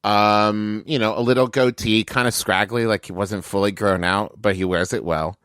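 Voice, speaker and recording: Smooth, low-voiced man playing a tabletop game. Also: steady tone, normal speed, a bit bright, no echo, clean studio sound. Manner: casual, explanatory, filler-heavy. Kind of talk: conversational improvised character backstory.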